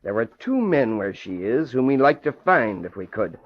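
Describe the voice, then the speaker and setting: An actor playing a brisk, worried federal agent in an old radio drama, questioning in an official manner. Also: male voice, medium pitch, big pitch swings, faint music behind. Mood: exasperated, concerned. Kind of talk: 1940s radio acting. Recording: muffled old radio recording.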